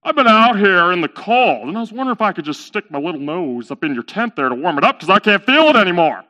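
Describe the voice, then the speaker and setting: A man's voice: sits high, big pitch swings, quick pace, sharp tone, full character voice. A man voicing a laid-back, cheeky talking camel in a comic story, wheedling in a breezy, folksy way.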